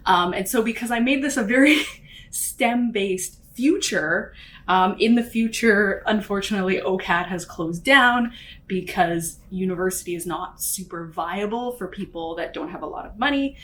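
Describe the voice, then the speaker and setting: A woman's voice: medium pitch, moderate pace, a bit bright. Chatty woman cooking and talking. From Canada. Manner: chatty, laughs often, many fillers. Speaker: author and vlogger. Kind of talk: casual chat while cooking.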